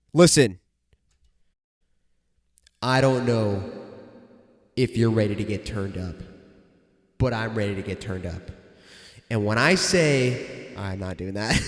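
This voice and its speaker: A man's voice: medium pitch, no echo, clean studio sound. Congested young man hosting a rowdy podcast. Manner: hyped, joking, full of "bro" and "dude". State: congested, nasal. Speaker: podcast host. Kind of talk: casual solo podcast rant.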